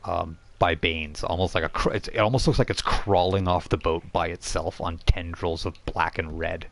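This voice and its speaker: Male game master with a low voice, improvised tabletop narration, conversational and halting. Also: fairly even intonation, creaky. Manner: halting, conversational, repeats phrases while improvising. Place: live online game stream.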